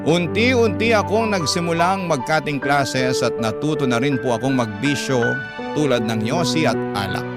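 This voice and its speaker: A man's voice: a little high, animated, normal speed. A man reading a scripted first-person story as a radio drama narrator. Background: prominent instrumental background music.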